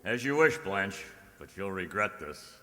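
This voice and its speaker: An actor playing a cruel, taunting stepbrother with a smugly superior manner, in the style of an old radio thriller. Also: male voice, sits low, big pitch swings, unhurried, sharp tone, exaggerated voice. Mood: mocking, smug. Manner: mocking, smugly superior drawl. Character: cruel, taunting stepbrother who relishes women's tears. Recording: echoey hall radio-play recording.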